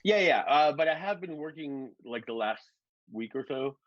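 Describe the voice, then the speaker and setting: A man's voice: on the high side, lively intonation, moderate pace, dry recording, dull audio. A man in his forties, a guest drone pilot, chatting casually and rambling, swearing freely and laughing often. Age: 40s. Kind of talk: casual chat.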